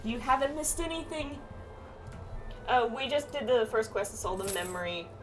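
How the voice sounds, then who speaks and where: A woman's voice: a little high, lively intonation, moderate pace. A woman chatting casually through a game playthrough, reading quest text aloud and muttering asides to herself. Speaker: game streamer playing with friends. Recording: game music behind the voice. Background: game music.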